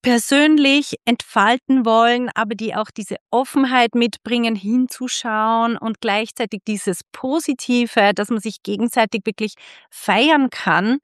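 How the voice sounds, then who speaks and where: A middle-aged woman from Austria hosting a casual interview, chatty and quick to laugh, often restarting her sentences. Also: on the high side, no room sound, studio quality. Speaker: podcast host and club founder.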